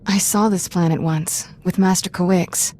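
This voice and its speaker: Casting: actress as capable, brisk young female Jedi apprentice, slightly low voice, game dialogue, faint ambient noise. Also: steady tone, mellow, natural voice. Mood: subdued. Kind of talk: video game cutscene acting.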